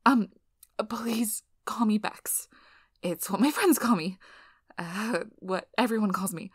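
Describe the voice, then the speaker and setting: A woman playing a nervous young female PhD researcher in an ASMR role-play, flustered, halting and apologetic, with breathy whispered asides. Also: medium pitch, dry recording, clean studio sound. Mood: awkward, eager.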